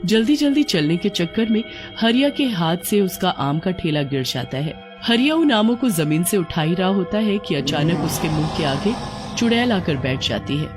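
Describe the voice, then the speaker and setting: A woman's voice: fairly low pitch, sing-song, moderate pace, over music. A woman storyteller reading a scripted tale as narrator.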